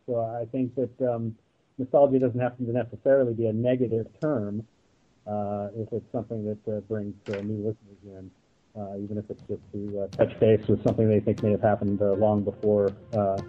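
A man's voice: lowish voice, level tone, fairly quick, slight background noise. A man speaking reflectively and hesitantly as a panelist in a casual discussion. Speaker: guest panelist, audio drama producer. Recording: narrow, tinny phone-like line.

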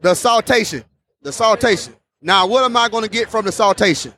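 A man's voice: sits high, a little fast, sharp tone. A man hosting a casual beer tasting vlog, enthusiastic and repeating his phrases. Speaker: host.

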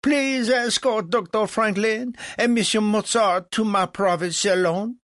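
A man's voice: high voice, lively intonation, takes it slowly, fairly bright tone, no echo, clean studio sound, voice pushed up, heavily acted. A man voicing a pompous, boastful French host in a storybook, with a grandiose, emphatic manner. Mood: barking.

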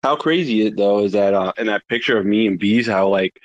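Man, low-pitched voice, relaxed conversational opinion-giving. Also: brisk, highs cut off. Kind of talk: casual chat.

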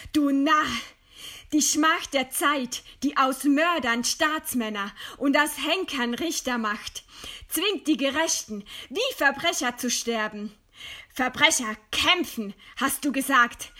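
A proud, defiant woman intellectual in a radio play. Her voice is high and forceful, and she declaims slowly, with eloquent scorn for her executioner. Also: heavily acted. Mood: defiant, bitter. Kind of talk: theatrical radio play acting.

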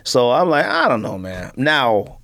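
A man's voice: mid-range voice, big pitch swings, unhurried, creaky, a bit bright. An American man hosting a podcast, chatting casually and emphatically in loose slang. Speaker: podcast host. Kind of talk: casual chat about sneakers.

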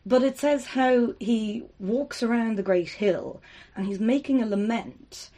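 A woman's voice: on the high side, unhurried, mellow. Woman explaining old stories. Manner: explanatory, thoughtful, with frequent fillers. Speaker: podcast co-host. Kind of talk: casual chat retelling myths.

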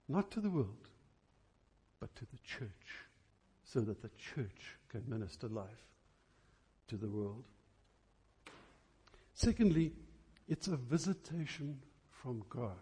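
A man preaching a church sermon in an emphatic, dramatic way, repeating phrases deliberately for effect. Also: mid-range voice, warm tone, breathy edge.

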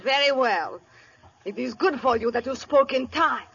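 An actress playing a melodramatic young heiress guarding a fortune, speaking in a theatrical, intense way in a 1930s radio play. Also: female voice, sits high, animated, normal speed, vocal fry, fairly bright tone, husky, dull audio, full character voice. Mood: dramatic. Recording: scratchy 1930s radio broadcast.

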